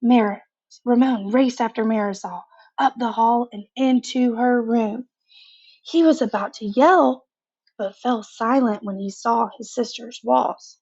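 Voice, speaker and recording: A woman reading a picture book aloud to children in an expressive, conversational way, pausing for casual asides of her own. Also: a little high, husky, dull audio. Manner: expressive, conversational, pauses to comment.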